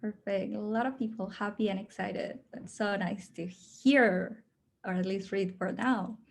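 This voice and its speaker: A woman's voice: mid-range voice, steady tone, measured pace, creaky. A woman from Mexico with a strong Mexican accent, hosting an onboarding video call in an upbeat, chatty way with many fillers. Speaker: program host. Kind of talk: casual video call presentation.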